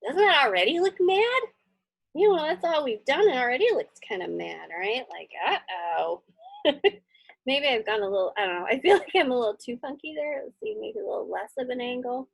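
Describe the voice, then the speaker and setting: A woman's voice: high voice, big pitch swings, normal speed, gravelly, dry recording. A woman teacher casually talking children through a drawing step by step, thinking aloud and often checking in with little questions.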